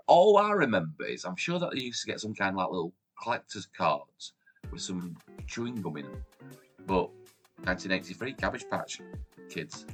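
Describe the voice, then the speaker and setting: Chatty chesty Yorkshire man, bright voice. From Yorkshire, England. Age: 40s. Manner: chatty and upbeat, lively jumps in pitch and loudness. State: chesty, recovering from a cold. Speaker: YouTube host. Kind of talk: casual countdown vlog. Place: room at home with shelves behind the camera.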